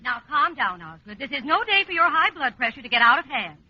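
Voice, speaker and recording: An actress playing a placid, patient middle-aged wife and mother with an American accent in a vintage radio comedy, genteel and gently chiding. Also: high-pitched, very animated, brisk, no echo. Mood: soothing. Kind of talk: 1950s American radio sitcom acting. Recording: muffled old radio recording.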